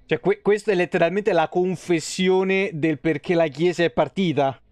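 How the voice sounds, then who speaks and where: A man's voice: high-pitched, fairly even intonation, moderate pace, darkish tone, dry recording. A man, giving casual, sweary, grumbling live game commentary into a close mic. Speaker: game streamer. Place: small indoor room.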